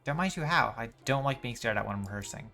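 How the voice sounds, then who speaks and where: A man's voice: mid-range voice, animated, quick pace, vocal fry, sharp tone, faint music behind. A man voicing a prickly, curt and dramatic stage performer rehearsing, from a game. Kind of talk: reading game dialogue aloud.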